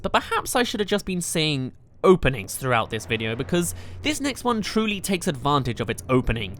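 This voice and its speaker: An enthusiastic, chatty reviewer, narrating a scripted video game countdown. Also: medium pitch. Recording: music behind the voice.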